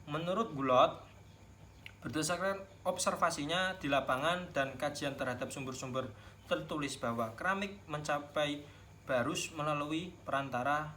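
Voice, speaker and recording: Young man, Javanese accent, student teacher, slightly high voice, classroom lecturing, faint background noise. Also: a bit bright.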